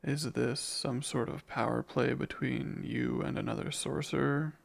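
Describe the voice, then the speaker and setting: A man playing a devoted, jealous and menacing demon in an ASMR romance roleplay, speaking in formal, deliberate phrases with a gravelly voice. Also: medium pitch, fairly even intonation. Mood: jealous, questioning.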